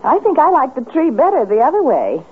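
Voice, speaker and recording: A woman's voice: high voice, wildly swooping pitch, a little fast, phone-quality sound. A woman playing a polite, sweet, eager lady guest in a 1950s radio comedy, with a gushing manner. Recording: thin, band-limited 1950s radio recording.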